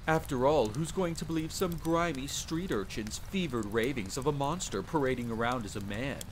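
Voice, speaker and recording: Audio drama villain: male narrator as a dainty, coldly amused vampire nobleman, smug and unhurried, over rainy night ambience. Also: mid-range voice, a little hiss or hum, lightly acted. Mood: cooing menace. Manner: cooing, musing, smug, unhurried. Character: suave, mocking aristocratic male vampire count, coldly amused. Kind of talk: storybook villain in audio roleplay. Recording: steady rain ambience behind.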